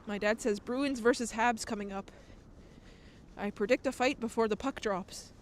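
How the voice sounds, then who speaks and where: A woman's voice: a little high, moderate pace, a little hiss or hum. Chatty woman streaming outdoors, slightly nasal. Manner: chatty, laughs often. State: recovering from a cold, slightly nasal. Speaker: IRL streamer. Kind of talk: casual live-stream chat reading viewer comments. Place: outdoors on city streets at night.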